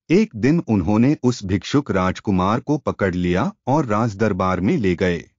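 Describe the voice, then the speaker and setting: A man narrating a scripted moral tale in a formal, literary, expressive storytelling manner. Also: on the low side, dry recording, dull audio.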